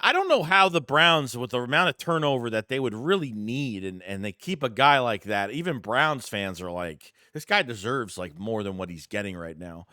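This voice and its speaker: A man's voice: mid-range voice, pushed and bright, clean tone, no room sound, clean studio sound. A man hosting a casual sports news vlog, a little congested and under the weather, rambling through the news with fillers and restarts. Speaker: sports channel host.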